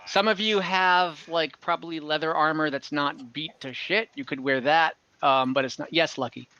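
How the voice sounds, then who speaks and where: A man running a tabletop game as game master, narrating casually in a halting, conversational way. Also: fairly high pitch, steady tone, pushed and bright. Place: online call, dry close room. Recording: dull lo-fi online call audio.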